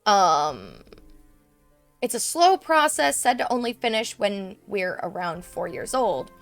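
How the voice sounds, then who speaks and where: A woman's voice: medium pitch, very animated, slow, faint music behind. A woman with a congested, stuffy voice from a cold, giving rambling, casual commentary while playing a video game. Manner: chatty, rambling, lots of fillers. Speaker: let's play streamer.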